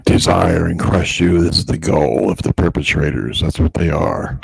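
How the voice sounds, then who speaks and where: A man with a gravelly, husky voice giving casual, informal live commentary as the host. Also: low-pitched, moderate pace, a little hiss or hum. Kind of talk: casual live commentary on a read-aloud text.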